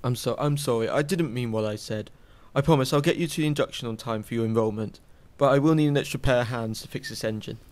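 A man's voice: mid-range voice, fast, hardly any pauses, a little mellow, lightly acted. A man playing a chiding but caring space pilot father in a sci-fi audio drama. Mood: apologetic.

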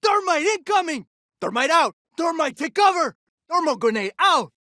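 A man's voice: very high voice, big pitch swings, normal speed, bright, forceful tone, no room sound, clean studio sound, full character voice. A man playing a cocky, wisecracking soldier in a video game, delivering short, punchy combat callouts. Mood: urgent shouting. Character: cocky, jokey male soldier, sporty ex-jock type. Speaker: video game operator character.

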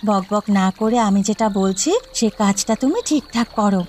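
A woman's voice: mid-range voice, unhurried, a little mellow, a little hiss or hum, lightly acted. A woman voicing a confident, bossy young city bride in a cartoon drama, firmly giving instructions, with music behind. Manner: firm, instructing.

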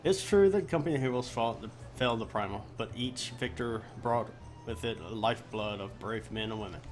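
A man doing a live let's play, reading quest dialogue aloud haltingly and often restarting his phrases. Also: medium pitch. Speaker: game streamer. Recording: music behind the voice. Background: game music.

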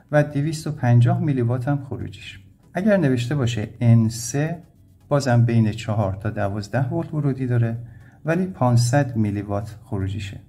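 Mellow-voiced man teaching electronics, music behind. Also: medium pitch. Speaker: electronics instructor. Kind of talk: step-by-step tutorial explanation. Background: background music.

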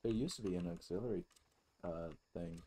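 Low-pitched man, casual gaming commentary. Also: moderate pace, dark tone. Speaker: let's-play host.

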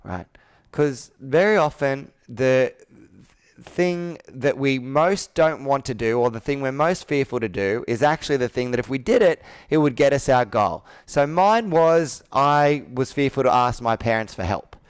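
Clear-voiced man, earnest and persuasive. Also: mid-range voice, expressive, a little slow, no echo. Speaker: business coach. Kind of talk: sales call roleplay, personal storytelling. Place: indoor room with whiteboard, video call.

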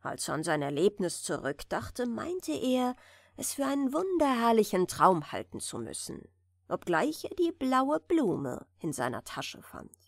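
A woman narrates a bedtime fairy tale in a gentle, soothing way. Her pitch moves expressively while the pace stays very unhurried, sometimes sinking toward a whisper. The recording is close and dry. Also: huge pitch swings, no echo. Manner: gentle, soothing, dropping at times to a breathy near-whisper. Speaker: audiobook narrator.

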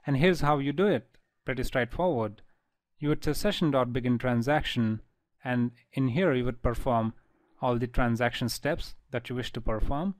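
A man teaching a programming tutorial over a screen recording, calmly explaining each step. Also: medium pitch, no echo. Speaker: programming instructor.

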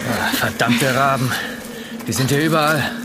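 A man's voice: medium pitch, moderate pace, heavy background noise, natural voice. An actor playing a male mountain climber who talks in a curt, laconic way.

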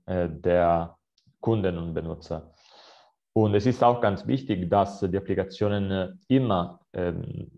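Italian-accented man, low dark voice. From Italy. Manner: hesitant, many filler sounds and restarts. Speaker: account manager presenting a webinar. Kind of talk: webinar presentation. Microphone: video call. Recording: slightly dull video-call audio.

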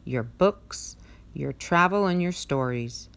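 A woman reading a picture book aloud in a measured, expressive storytelling manner. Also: low voice, gravelly, smooth. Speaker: read-aloud narrator.

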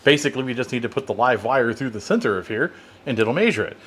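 American man explaining tools, medium pitch. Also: lively intonation, fast talker, fairly bright tone, slightly rough. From United States. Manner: explanatory, conversational. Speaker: tool reviewer. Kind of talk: hands-on product review and demonstration. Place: home workshop at a workbench.

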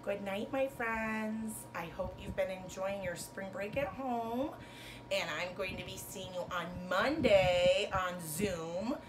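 A woman in her thirties, a teacher reading a children's storybook aloud to pupils with expressive, sing-song phrasing and emphasized words. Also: mid-range voice, rough recording. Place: bedroom, indoors. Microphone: camera a couple of metres away.

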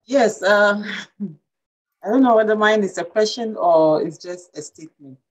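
A woman's voice: mid-range voice, moderate pace. A middle-aged woman with a Ghanaian accent, asking a question on a video call, hesitant and thinking aloud. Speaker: community member asking a question. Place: home room indoors. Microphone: video call webcam.

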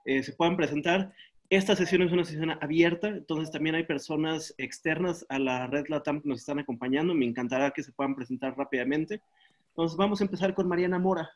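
A man hosting an online session from a small home room over a webcam, briskly calling on participants and thanking each one. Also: on the high side. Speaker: session host. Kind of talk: video call roll call. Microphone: laptop webcam on video call.

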